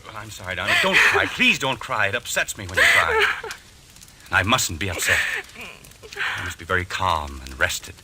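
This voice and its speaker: Obsessed husband, intense, volatile, theatrical old radio style, swinging intonation, faint noise. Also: male voice, deep voice, huge pitch swings, moderate pace. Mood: apologetic, brittle. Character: obsessed, volatile husband dreaming of fighting a duel. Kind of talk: old-time radio mystery acting.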